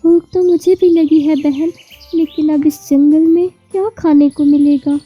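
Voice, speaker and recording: Woman voicing an earnest, high-pitched big-sister sparrow. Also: steady tone, unhurried, mellow, faint music behind. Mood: earnest, protective. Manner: earnest, reassuring. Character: devout, protective young big-sister sparrow in a cartoon.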